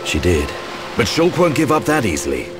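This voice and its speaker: A man playing a gruff but caring young soldier and protective older brother in a video game, speaking in a dry, measured way. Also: mid-range voice, huge pitch swings. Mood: wistful, concerned. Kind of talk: video game cutscene voice acting. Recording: loud music behind the voice.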